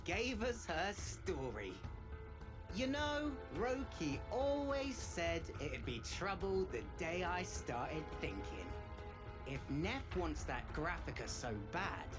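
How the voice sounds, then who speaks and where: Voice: mid-range voice, very animated, takes it slowly, music in the background. An actor playing a loyal, wistful young hoverboard-gang kid in a video game, with slangy, informal speech. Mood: wry, casual.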